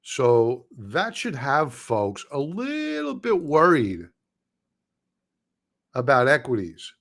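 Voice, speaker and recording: Hoarse man giving blunt market commentary. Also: medium pitch, huge pitch swings, takes it slowly, a bit bright. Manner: blunt, opinionated, casual slang; clears throat often. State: losing his voice. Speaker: market analyst hosting a live stream. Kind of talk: live market commentary over charts.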